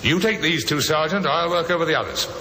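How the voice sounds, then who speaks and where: An actor playing a brisk, authoritative police inspector in an old-time radio drama. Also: male voice, a little high, pushed and bright. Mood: commanding, curious. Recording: noisy vintage radio drama recording.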